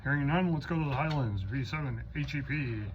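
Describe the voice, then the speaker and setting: A man's voice: mid-range voice, brisk. A man acting as net controller on a ham radio roll call, calling stations in informal procedural patter with some hesitation. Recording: muffled lo-fi audio with clear noise.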